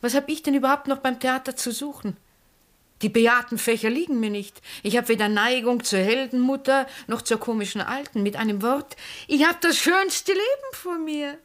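An actress playing a vivacious, effusive middle-aged former stage star with an Austrian accent in a 1960s radio drama. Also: female voice, high voice, lively intonation, normal speed, a bit bright. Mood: exuberant. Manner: theatrical, effusive, often breaking into laughter. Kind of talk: 1960s German-language radio drama acting.

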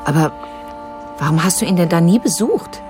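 Radio drama casting: woman plays accusing grown-up daughter, lowish voice, pointed and sardonic, faint music underneath. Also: expressive, moderate pace, lightly acted. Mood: probing. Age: adult. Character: insistent, accusing grown daughter digging up family past. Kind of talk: naturalistic radio drama dialogue.

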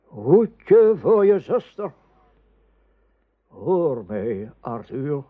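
An actor playing a weary, three-hundred-year-old wizard and mentor in a radio play, solemn and wistful. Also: male voice, on the high side, big pitch swings, very slow, full character voice. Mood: solemn warning. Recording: narrow phone-like radio play sound.

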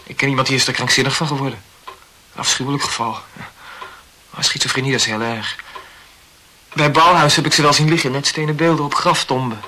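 An actor plays a young male medical student in a radio play, earnest and socially awkward. His mid-pitched voice is bright and keen, and he explains himself at length with formal politeness. The recording carries faint noise. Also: lightly acted. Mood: chatty, earnest.

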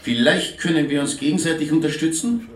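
Courteous, talkative police inspector from Vienna, off-mic in echo, radio crime drama. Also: male voice, on the high side, expressive. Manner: polite, formal, measured. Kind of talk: German radio play acting. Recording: roomy, distant video link sound, music.

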